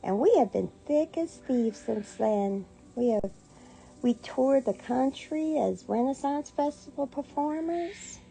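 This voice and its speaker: A woman's voice: sits high, lively intonation, slow pace, mellow, rough recording. A middle-aged American woman telling a personal story casually, with a playful, sassy manner and acted-out dialogue. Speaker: storyteller, online content creator. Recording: music playing behind the voice. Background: clear background music.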